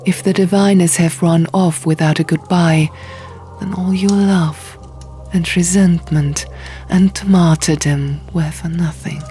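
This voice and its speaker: Woman as a stern, slow, quiet abbess. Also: fairly low pitch, steady tone, soft background music, lightly acted. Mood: cold, scolding. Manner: quiet, measured, condescending. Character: stern, cold, dismissive abbess, scolding quietly.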